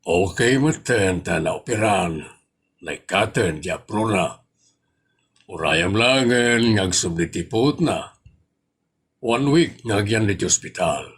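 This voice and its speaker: A man playing a calm hospital doctor with an Ilocano accent, explaining in a measured, halting way in an audio drama. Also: on the low side, husky, full character voice. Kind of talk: comedy audio drama acting.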